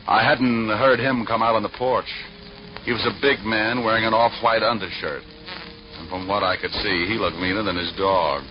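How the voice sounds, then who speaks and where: An actor playing a wry, deadpan hard-boiled private detective, narrating in 1940s radio noir style. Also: male voice, on the low side, normal speed, close to an everyday voice. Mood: wry, tense. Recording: muffled old radio recording with music.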